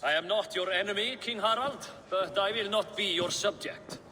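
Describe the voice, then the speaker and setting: A high-voiced defiant Norse noble. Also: male voice, normal speed, pushed and bright. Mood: defiant, dignified. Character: defiant Norse nobleman leaving his kingdom. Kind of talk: dramatic scripted game acting. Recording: reverberant hall with faint noise.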